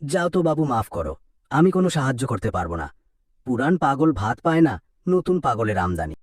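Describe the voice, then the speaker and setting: A man's voice: medium pitch, very animated, normal speed, dry recording, studio quality. A man voicing a stingy, brusque village householder who scorns beggars in a cartoon folk tale. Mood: scornful.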